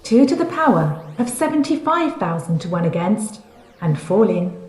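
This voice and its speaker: A woman playing a composed, reassuring starship announcer who speaks formally and with measured care in a comic sci-fi audio drama. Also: mid-range voice, big pitch swings, a little mellow, reverberant, faint music behind, natural voice. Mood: calm, reassuring.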